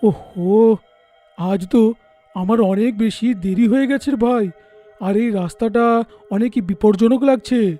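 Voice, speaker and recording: An excitable, simple village craftsman acted by a man in a cartoon tale. The voice is strikingly high yet mellow, emphatic and fretful, over faint music. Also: measured pace, pauses often. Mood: worried. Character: simple, excitable village idol-maker husband.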